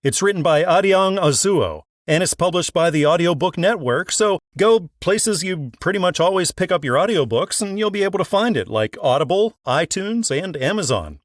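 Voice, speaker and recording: A man with a fairly high voice works as an interview host, delivering scripted introductions and questions. The recording is dry, with very little room sound. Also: expressive, moderate pace, hardly any pauses, no room sound.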